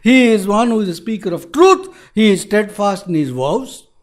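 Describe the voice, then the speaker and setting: A man's voice: sits high, lively intonation, normal speed. A man voicing a proud male king of the gods who lectures and warns emphatically. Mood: admonishing.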